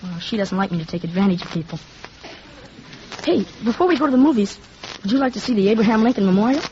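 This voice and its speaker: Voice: mid-range voice, animated, fast, darkish tone, natural voice. A performer playing an eager, chatty young boy with an informal, wide-eyed gee-whiz manner in a 1950s radio drama. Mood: friendly, eager. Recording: dull, noisy 1950s radio recording.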